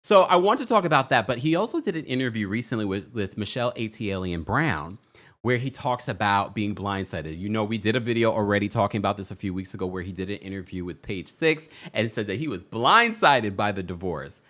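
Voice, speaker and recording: Animated male gossip host. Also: brisk. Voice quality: deep voice. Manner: animated, chatty, laughs often. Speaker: celebrity news host. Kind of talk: casual commentary livestream.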